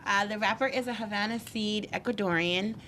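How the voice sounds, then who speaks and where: A woman's voice: mid-range voice, brisk, bright, forceful tone, slight background noise. A woman on a casual panel show, giggling as she reads out the details of a cigar.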